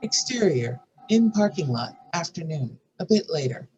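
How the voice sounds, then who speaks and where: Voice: mid-range voice, big pitch swings, normal speed, a little mellow, muffled recording. There is faint background noise. A narrator with a mid-range voice reads screenplay scene headings and action lines as scripted narration. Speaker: narrator reading stage directions.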